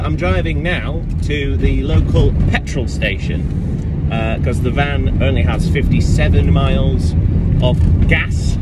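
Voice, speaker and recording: Chatty young man vlogging on a phone. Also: mid-range voice. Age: 20s. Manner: chatty, jokey, talks to a handheld camera. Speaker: touring musician vlogging. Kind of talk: casual vlog narration. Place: van, hotel room and small venue. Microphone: phone or camera on a handheld grip. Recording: noisy handheld phone audio.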